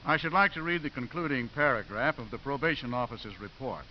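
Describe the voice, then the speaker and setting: An actor playing a formal courtroom lawyer in a 1950s radio drama, declamatory in delivery. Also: male voice, mid-range voice, brisk, lightly acted. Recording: dull, hissy old radio recording.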